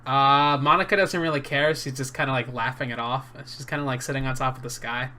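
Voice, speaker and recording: A man's voice: mid-range voice, fairly even intonation, fairly quick, bright, forceful tone. A young man hosting a casual, joking anime debate, rambling and restarting as he talks. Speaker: channel host.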